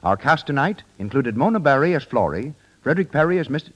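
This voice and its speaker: A man's voice: medium pitch, big pitch swings, brisk, a bit bright, breathy edge, muffled recording. A man announcing credits on 1930s radio in a formal, scripted style. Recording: 1930s radio broadcast, faint audience noise.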